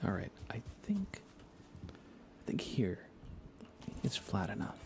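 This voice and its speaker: A man speaking softly and unhurriedly, often half-whispering, as he rambles through a live ASMR gaming stream. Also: fairly low pitch, sing-song, slow. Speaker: livestream host. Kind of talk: soft-spoken ASMR game livestream chat.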